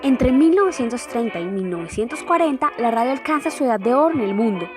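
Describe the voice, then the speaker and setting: Female narrator, higher register, formal read of documentary script, prominent music bed. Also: expressive, fast. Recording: loud music behind the voice.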